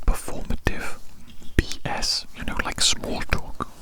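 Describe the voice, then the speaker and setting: Male ASMR creator, fully whispered, intimate close mic, musing and repeating phrases, light scratching sounds alongside. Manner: whispers throughout, repeats phrases slowly and reflectively. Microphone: very close ASMR microphone. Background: scratchy drawing sounds.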